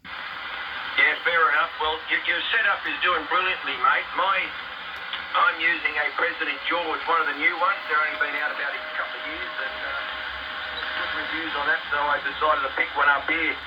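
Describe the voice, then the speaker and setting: A man's voice: on the high side, little pitch movement, normal speed. A man with an Australian accent chatting casually as a radio operator, matey and informal, heard over a radio transmission. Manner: matey, complimentary, laid-back wording. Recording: loud static, muffled distant radio signal. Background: loud radio noise.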